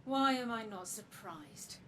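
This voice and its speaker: A woman's voice: a little high, lively intonation, slow, very noisy. An actress playing a guarded, earnest robot woman, a protective sister, in a video game drama. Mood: defiant. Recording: prominent music under the voice.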